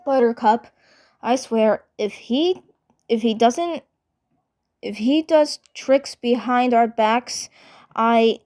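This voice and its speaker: A woman's voice: on the high side, slow, long pauses, a little mellow. A woman voicing a tough, grumpy, suspicious young cartoon superhero girl in a sarcastic grumble. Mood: threatening.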